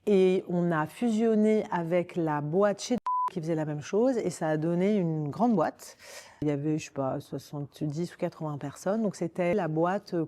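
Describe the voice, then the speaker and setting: Female interview guest, forties, Parisian French, low soft-edged voice, informal delivery that circles back and rephrases. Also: a little hiss or hum. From Paris, France. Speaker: podcast guest, communications director. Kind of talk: conversational interview, recounting own career.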